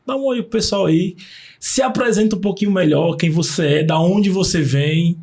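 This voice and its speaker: Podcast host, male, high gravelly voice, jokey and upbeat. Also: normal speed, darkish tone. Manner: jokey, upbeat, chatty with slang. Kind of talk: casual interview, reading sponsor plugs.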